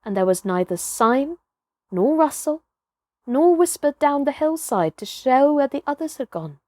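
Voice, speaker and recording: A woman's voice: high voice, big pitch swings, measured pace, warm tone, no echo, clean studio sound. A woman reading a children's story as a dramatic narrator, with theatrical, emphatic phrasing.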